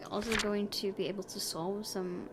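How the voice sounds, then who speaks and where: A woman streamer reads a visual novel's story aloud. Her pitch is average and she sometimes trips over words, slipping into casual commentary. Clear background noise sits behind her voice. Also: flat delivery, moderate pace. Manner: stumbles over words while reading. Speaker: let's play streamer. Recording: clearly noisy home recording.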